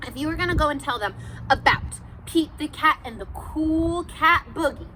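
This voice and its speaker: Bright high-pitched lady teacher talking to little kids, encouraging, asks questions, noisy home recording. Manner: animated, encouraging, asks questions and pauses for answers. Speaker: teacher reading aloud to children. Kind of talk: interactive children's read-aloud, talking to young viewers.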